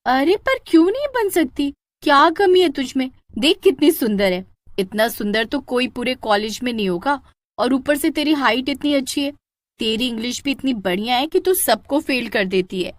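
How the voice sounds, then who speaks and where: Woman voicing an excitable, high-pitched college-girl best friend. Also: normal speed, no room sound. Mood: eager, encouraging. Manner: emphatic, urging, exclaiming. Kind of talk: animated cartoon story dialogue.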